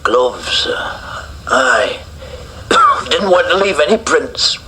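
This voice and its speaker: A man's voice: a little high, wildly swooping pitch, extremely slow, pushed and bright, a little hiss or hum, full character voice, poor audio. An actor playing a confused older man with memory gaps, who rambles and coughs between words. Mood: vague, wistful.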